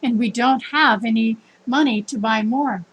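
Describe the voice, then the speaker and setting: Woman as a humble, high-voiced poor mother. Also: measured pace, a bit bright, slightly rough. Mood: sad.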